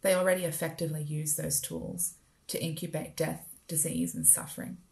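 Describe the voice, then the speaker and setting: An Australian woman reading her own literary writing aloud in a measured, deliberate, poetic way. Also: low-pitched, very animated, gravelly, darkish tone. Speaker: writer performing own work. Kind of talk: literary spoken-word reading.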